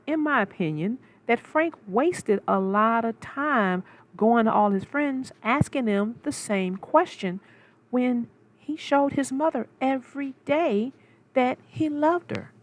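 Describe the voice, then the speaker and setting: A woman narrating a children's storybook aloud as host, expressive and sing-song, then chatting about the story. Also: a little high, slow pace.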